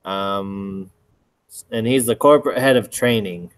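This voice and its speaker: A man summarizing a reading in a class discussion, hesitant and full of restarts, clearing his throat now and then. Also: on the low side, a little slow. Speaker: student in a discussion group.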